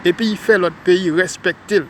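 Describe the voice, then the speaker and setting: A man's voice: sits high, steady tone, moderate pace, a little hiss or hum, rough recording. Haitian man rambling on a city street. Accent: Haitian French. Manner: rambling, addresses viewers as ladies and gentlemen. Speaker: vlogger. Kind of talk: casual walking vlog monologue. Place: outdoors on a city street, walking.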